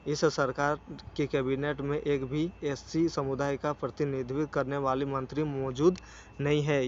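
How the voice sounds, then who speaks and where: A man reading a scripted news report in a formal way, stumbling now and then over words. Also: mid-range voice. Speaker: news channel narrator. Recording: dull lo-fi sound with faint noise.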